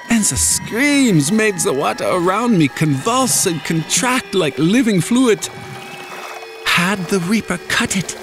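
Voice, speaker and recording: A man narrating a first-person horror story in a dramatic, scripted storytelling style. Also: on the high side. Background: music.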